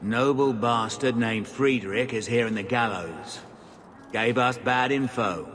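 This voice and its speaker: An actor playing a blunt, rough-spoken leader of a mercenary company in a video game. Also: male voice, mid-range voice, unhurried, lightly acted. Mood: cold, commanding. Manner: rough, plain-spoken, slangy. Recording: loud music behind. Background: game music.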